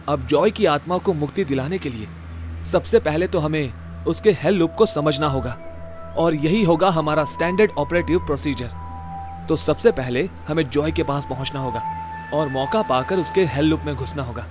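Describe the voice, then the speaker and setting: A man's voice: a little high, darkish tone, lightly acted. A man playing an irritable, bossy ghost-case investigator who swears at his partner in a Hindi horror-comedy audio drama. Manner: bossy, exasperated, foul-mouthed.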